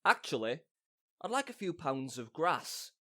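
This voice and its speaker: An actor playing a simple, drowsy donkey-headed man in an audio drama, savoring his words in a drawn-out way. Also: a little high, sing-song, bright, forceful tone, no echo, studio quality, exaggerated voice. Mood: dreamy, hungry. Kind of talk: modernized Shakespeare audio drama acting.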